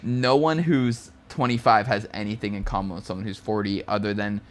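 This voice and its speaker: A man's voice: on the low side, steady tone, fairly quick. A man co-hosting a casual podcast, laughing often and swearing while he tells stories. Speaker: podcast co-host. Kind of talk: casual chat.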